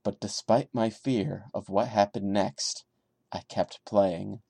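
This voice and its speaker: A man with a fairly low voice reads a creepy story aloud in a theatrical style. He slips into sarcastic, disbelieving commentary as a podcast host would. The recording is close and dry, with little sense of a room. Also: fairly even intonation, takes it slowly, gravelly, mellow, dry recording. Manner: theatrical narration broken by incredulous, sarcastic asides. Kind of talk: dramatic reading with mocking commentary.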